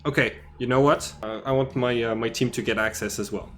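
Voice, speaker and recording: A man's voice: steady tone, a little fast, fairly bright tone, soft background music, close to an everyday voice. A man doing a fed-up engineer NPC. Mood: exasperated. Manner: grumbling, exasperated, low-key. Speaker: non-player character. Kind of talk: tabletop game roleplay.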